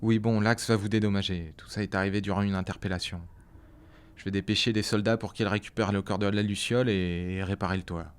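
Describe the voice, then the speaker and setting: A man's voice: on the low side, fairly even intonation, fast, clear, resonant tone, lightly acted. A man playing an exasperated, authoritative police captain in a sci-fi audio drama, blunt and indignant. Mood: curt, conciliatory. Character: angry, exasperated police captain under Senate orders.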